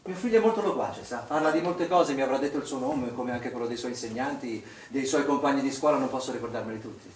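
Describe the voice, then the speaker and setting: A man's voice: mid-range voice, quick pace, few pauses, natural voice. An actor playing a suspicious, confrontational father in a naturalistic stage drama, speaking in an insistent, accusatory, curt way. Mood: defensive, accusing. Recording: roomy, distant, noisy stage recording.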